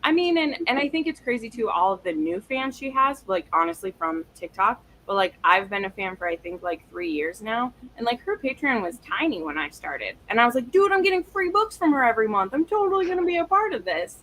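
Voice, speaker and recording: A woman with a bright, forward voice talks in a loose, gushing way, full of slang and swearing. She sounds like she is on a casual livestream, with faint noise behind her. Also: fairly high pitch, big pitch swings, fairly quick, few pauses, low-quality recording. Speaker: book livestream co-host. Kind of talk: casual excited chat. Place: live online video chat.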